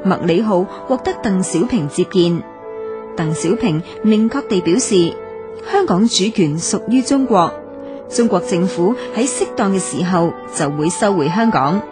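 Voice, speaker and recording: A woman's voice: on the low side, expressive. Woman narrating over music. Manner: measured formal reading. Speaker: documentary narrator. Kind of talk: scripted historical narration. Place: radio studio. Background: background music.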